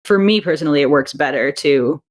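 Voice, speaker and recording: A woman's voice: low-pitched, fairly quick. A woman guest on a podcast, an audio drama creator, talking chattily with many fillers. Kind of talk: casual interview chat.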